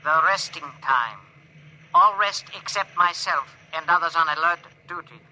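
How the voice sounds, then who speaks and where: A man's voice: a little high, normal speed, bright, forceful tone, a little hiss or hum, poor audio. An actor in a 1950s radio drama voicing an earnest, cautious male alien with stilted, formal phrasing through a radio-transmission effect. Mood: earnest, sorrowful.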